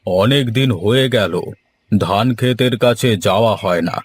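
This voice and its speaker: A man's voice: fairly low pitch, moderate pace, close to an everyday voice. A man voicing an authoritative village landlord who owns paddy fields, in a cartoon folk tale. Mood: inquiring.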